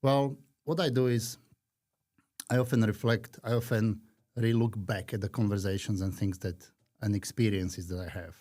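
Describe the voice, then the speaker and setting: A man in his fifties from Slovakia with a Slovak accent, talking earnestly and reflectively as a podcast guest, with frequent pauses. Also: lowish voice, steady tone, a little mellow. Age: 50s. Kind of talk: conversational interview.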